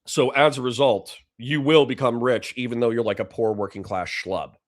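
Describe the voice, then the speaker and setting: A man's voice: medium pitch, steady tone. A man with a dry voice giving blunt, profane political commentary to a livestream chat. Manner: blunt, profane, fast restarts.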